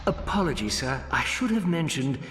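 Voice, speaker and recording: A man's voice: on the high side, very animated, moderate pace. An actor voicing a meek, deferential male robot butler who speaks apologetically. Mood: apologetic. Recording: echoey, distant, loud music.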